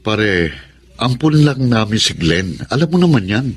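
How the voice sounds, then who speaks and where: An actor in a radio drama playing a husky-voiced father who plays favourites among his children. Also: lowish voice, big pitch swings, measured pace, heavily acted. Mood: defensive. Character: father doting on one son, cold to the other. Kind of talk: Tagalog radio drama acting.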